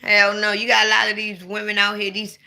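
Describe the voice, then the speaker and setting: Bright-voiced playful woman in her forties. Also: mid-range voice, completely flat delivery, brisk, vocal fry. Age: 40s. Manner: blunt, playful, swings to sudden loud outbursts. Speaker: livestream host. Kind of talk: casual livestream chat.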